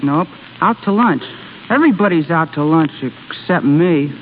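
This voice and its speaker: A man's voice: on the high side, expressive, moderate pace, natural voice. An actor playing an earnest, put-upon office boy with slangy, boyish talk, in 1930s radio drama style. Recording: scratchy, narrow 1930s radio recording.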